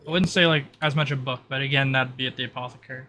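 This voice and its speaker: Bright-voiced man running a tabletop game. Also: mid-range voice, fairly even intonation, rapid-fire, slight background noise. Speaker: game master. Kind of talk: casual tabletop game narration.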